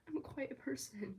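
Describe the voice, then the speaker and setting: A woman's voice: high voice, warm tone, a little hiss or hum. Rambling, chatty teenage girl livestreamer. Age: teens. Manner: rambling, chatty, filler-heavy talk with frequent "like". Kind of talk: casual livestream chat. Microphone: phone or tablet.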